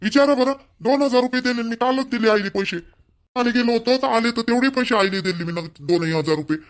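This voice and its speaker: A man's voice: very high voice, a little fast. A man voicing a defensive young village son in a cartoon, pleading and stammering in Vidarbha Marathi.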